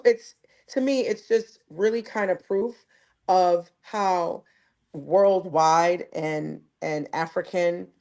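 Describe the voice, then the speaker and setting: A woman from the United States hosts a relaxed conversation with a slightly low voice. She tells stories with animation, slowing and stretching her phrasing as she works out her thoughts. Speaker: host. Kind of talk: casual discussion.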